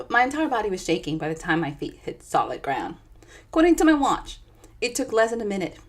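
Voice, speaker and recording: A woman with a Dominican accent, an author chatting with viewers and then reading her own book aloud in a live session. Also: medium pitch. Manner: chatty and animated, talking straight to viewers.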